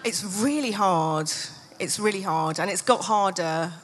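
A woman's voice: on the low side, a little slow, faint music behind. Reflective woman in candid conversation. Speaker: author and podcaster being interviewed on stage. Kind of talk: candid unscripted live conversation. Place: live festival stage.

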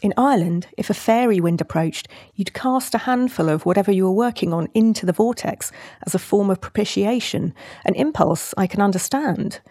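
A British woman, a nature writer, reading a descriptive nature essay in a measured literary style. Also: medium pitch, warm tone, breathy edge, dry recording, clean studio sound. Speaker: nature writer and podcast host.

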